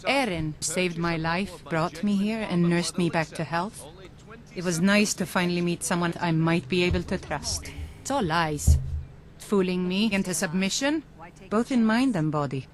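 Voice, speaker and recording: Bitter young captive in a fantasy game, voiced by a woman; low-ish, wary, grim delivery. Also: normal speed, over music, natural voice. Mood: weary. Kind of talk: video game dialogue.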